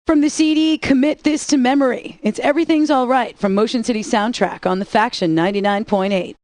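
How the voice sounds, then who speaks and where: A woman's voice: fairly high pitch, fairly even intonation, fairly quick, a little mellow, no room sound, lightly acted. A woman playing a sassy, teasing rock radio DJ who reads song intros in dry, scripted banter.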